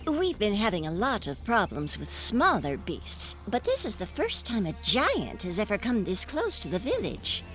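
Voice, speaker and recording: A woman voicing an anxious, gentle female rabbit villager in a video game, earnest and pleading. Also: medium pitch, wildly swooping pitch, normal speed, hardly any pauses. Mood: relieved, worried. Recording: music behind the voice.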